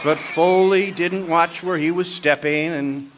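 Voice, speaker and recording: An actor playing a sardonic, hardboiled private eye narrating a radio detective parody. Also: male voice, a little high, steady tone, a little slow, background noise, lightly acted. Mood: dry narrating.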